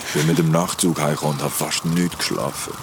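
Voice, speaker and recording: A man's voice: extremely low pitch, fairly even intonation, quick pace. An actor playing a tired, terse, irritable man in a radio play. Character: tired, grumpy man back from a night train. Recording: noisy ambience behind the voice.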